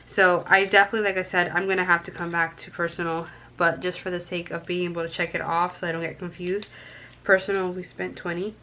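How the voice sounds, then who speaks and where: A woman's voice: on the low side, flat delivery, fast, bright, forceful tone, a little hiss or hum. A young woman with a stuffy nose from a cold, chatting casually to camera and counting cash aloud with frequent ums. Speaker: budgeting vlogger.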